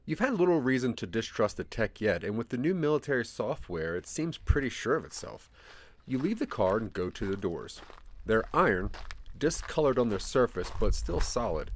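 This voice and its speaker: A man narrating a scripted second-person adventure story in a dramatic, deliberate storytelling manner. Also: medium pitch, no room sound. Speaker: narrator of a branching audio drama.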